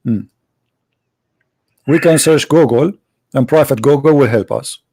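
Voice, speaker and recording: A man's voice: mid-range voice, measured pace, warm tone, husky. A man whose first language is Arabic, pressing his points in a heated online debate, repeating himself and talking over the other speaker. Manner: insistent, interrupting, talks over the other. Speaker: debate host.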